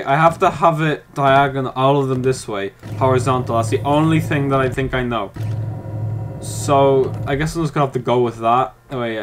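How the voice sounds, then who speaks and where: A man's voice: mid-range voice, a little hiss or hum. A man giving let's-play commentary, chatting to himself while he works out puzzles and snapping at the game in mock frustration. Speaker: gaming YouTuber.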